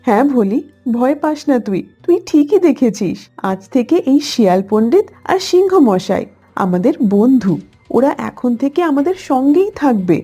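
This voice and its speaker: A woman voicing a kindly old mother cow in a cartoon, husky, tender and self-sacrificing. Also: high-pitched, big pitch swings, moderate pace, mellow, faint music behind, full character voice. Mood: gentle, reassuring. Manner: tender, pleading.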